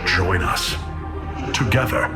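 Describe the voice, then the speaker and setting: An actor playing a noble, earnest alien warrior leader in a video game, with grave, stately oratory. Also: male voice, deep voice, takes it slowly, over loud music. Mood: resolute. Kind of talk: video game cinematic acting.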